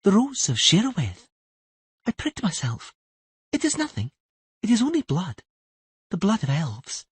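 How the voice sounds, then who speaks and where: A man's voice: high voice, wildly swooping pitch, unhurried, long pauses, husky, no room sound, pitched above the performer's own voice, exaggerated voice. A man voicing a young girl in a trance, speaking in a shaky, pleading voice. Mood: calm, eerie. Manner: shaky, pleading, rising into panic.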